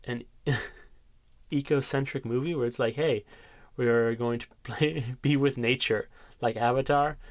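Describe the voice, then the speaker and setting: A man's voice: medium pitch, dry recording. A man in his twenties chatting casually about his favorite movies, enthusiastic, laughing often and swearing freely. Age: 20s. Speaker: ASMR creator.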